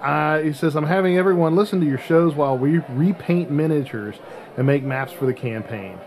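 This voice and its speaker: A man's voice: a little high, a little fast, darkish tone. A man hosting a casual podcast, reading out listener mail and bantering in a joking, teasing way. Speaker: podcast host.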